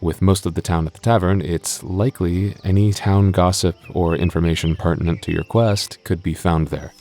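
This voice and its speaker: A low-pitched, exasperated young dungeon master played by a man, the tsundere childhood friend of an audio roleplay. He sounds put-upon and hesitant, speaking in a distinctly deep register. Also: fairly even intonation, normal speed, warm tone, faint music behind. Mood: measured narration. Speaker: game master. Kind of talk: ASMR audio roleplay acting.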